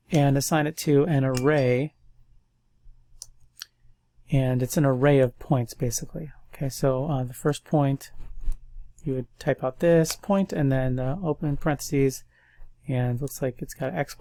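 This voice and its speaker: A man's voice: mid-range voice, unhurried, pauses often, no echo. A man with a stuffy nose, casually thinking aloud as he walks through a coding tutorial. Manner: thinks aloud, relaxed and chatty. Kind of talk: casual screen-recorded coding walkthrough.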